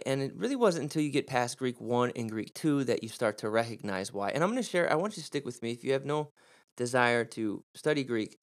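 A man from Michigan with a Midwestern American accent, teaching about biblical Greek in an earnest, casual way, often repeating words and using fillers. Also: mid-range voice. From Michigan, USA. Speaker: Bible teacher.

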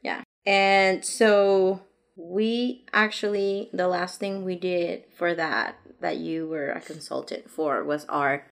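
A woman's voice: fairly low pitch, fairly even intonation, slow pace, gravelly. A Mexican woman whose native language is Spanish, co-hosting a podcast in casual chat, talking at length and laughing often. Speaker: podcast co-host.

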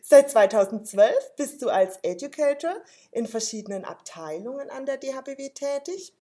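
A woman's voice: high voice, expressive, moderate pace, mellow. A woman who reads a formal introduction and then interviews her guest. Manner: formal, carefully read. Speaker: podcast host.

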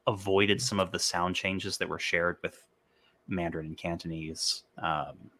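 Deep, forceful man in a dry room. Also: steady tone, a little slow, no echo. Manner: enthusiastic, explanatory, hedges his opinions. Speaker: podcast host. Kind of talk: casual conversation.

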